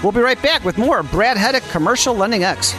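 A man's voice: sits high, animated, fairly quick, music in the background. A man hosting a live radio talk show from a studio, with an effusive, exclamatory, promotional patter.

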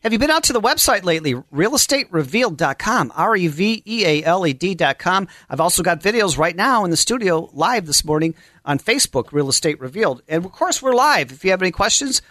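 A high-voiced man hosts a live radio show in a dry studio sound. His delivery is effusive and promotional, full of exclamations, with a bright, forceful edge. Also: sing-song, brisk, hardly any pauses, no room sound.

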